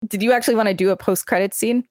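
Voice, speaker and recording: A woman's voice: medium pitch, fairly even intonation, racing, a little mellow, no echo. A woman co-hosting a podcast, reading an encyclopedia article aloud and adding casual asides. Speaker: podcast co-host.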